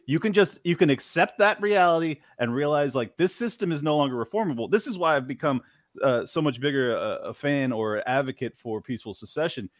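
A man's voice: on the high side, a bit bright, dry recording, clean studio sound. A man hosting a podcast, talking in a rambling casual way with many restarts. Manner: rambling, restarts, laughs a lot. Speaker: podcast host. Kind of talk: casual political chat.

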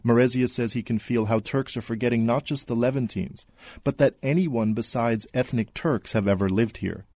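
Male radio correspondent, scripted report, mid-pitched voice, measured reading. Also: darkish tone, no room sound.

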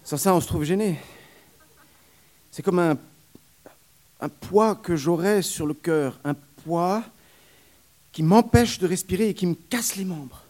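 A man's voice: slow pace, pauses often, a little mellow. A man voicing a suffering young wet nurse, pleading in a raised, breathless voice. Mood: plaintive. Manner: high, plaintive, breathless pleading.

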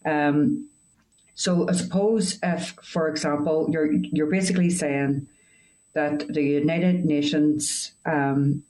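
Earnest halting man. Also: fairly high pitch, fairly even intonation, darkish tone, low-quality recording. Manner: earnest, halting with restarts and fillers. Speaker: committee member. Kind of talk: committee meeting remarks.

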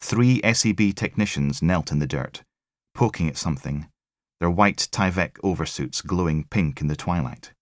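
A man's voice: low voice, moderate pace, no echo, clean studio sound. A man reading a crime thriller as scripted audiobook narration.

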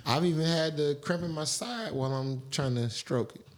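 A man's voice: fairly high pitch, moderate pace. A man co-hosting a podcast, trading joking, teasing, profane banter in casual chat. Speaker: podcast co-host.

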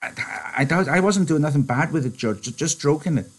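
A man voicing a slow-witted, gentle big farmhand who stammers and pleads like a child. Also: on the high side, lively intonation, husky, voice pushed up. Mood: pleading.

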